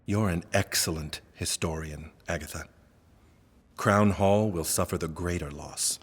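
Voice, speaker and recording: A composed, principled academic leader in an audio drama. His voice is deep and husky, his delivery restrained and formal, with long reverb around it. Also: a little slow. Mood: restrained sympathy. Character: composed, principled male head of a scholarly institute. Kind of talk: scripted audio drama acting.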